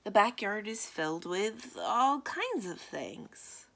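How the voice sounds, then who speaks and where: A matter-of-fact storybook child, as a woman reads it aloud. The kid explains things with mild exasperation, in an animated voice with some creak. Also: mid-range voice, slow pace, heavily acted. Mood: mildly exasperated. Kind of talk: children's picture book read-aloud.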